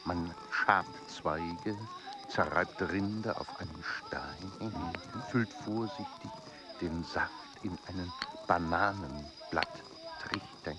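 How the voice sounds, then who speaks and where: An actor voicing a sly male poison maker who explains his craft slowly and with chuckles, in a radio play. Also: deep voice, poor audio. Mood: instructive. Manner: slow, instructive, chuckling.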